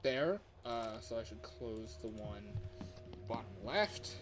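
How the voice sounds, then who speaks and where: Excitable man live-streaming a game over music. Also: lowish voice, slow. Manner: excitable, reactive, muttering to himself as he plays. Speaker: livestreamer. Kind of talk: casual live gameplay commentary.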